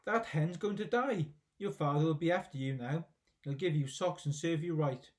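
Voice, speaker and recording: A man voicing a loud, scornful, boastful old grandmother in a story. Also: a little high, normal speed, lightly acted. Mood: scolding. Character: bossy, shouting, cackling old grandmother.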